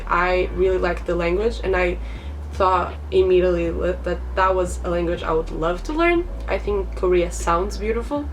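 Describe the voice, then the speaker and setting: A woman's voice: on the low side, recorded from a distance, slight background noise, rough recording. Young Portuguese woman, chatty vlog. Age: 20s. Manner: chatty, laughs often, restarts words. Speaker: vlogger. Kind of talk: casual vlog introduction.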